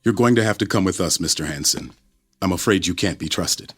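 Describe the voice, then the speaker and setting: Low, husky, menacing government man. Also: fairly bright tone. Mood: cold menace. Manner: formal, officious, measured. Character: cold, menacing male government agent. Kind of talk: radio drama acting.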